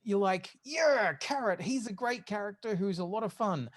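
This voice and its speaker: Woman chatting on a podcast panel, really animated up and down voice. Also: medium pitch, moderate pace. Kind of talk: casual panel discussion.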